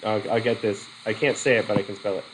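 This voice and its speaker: A middle-aged man with a cold teaching a psychology methods class, halting and restarting as he questions students in a whiteboard classroom. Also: on the low side, steady tone, background noise. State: has a cold. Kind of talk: classroom lecture.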